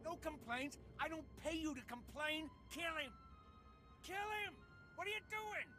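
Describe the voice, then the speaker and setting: Smug male crime boss, video game, bossy, very animated pitch, prominent music backing. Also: unhurried, exaggerated voice. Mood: furious, ordering. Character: cocky criminal boss, bargaining, hot-tempered when crossed. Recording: loud game music behind.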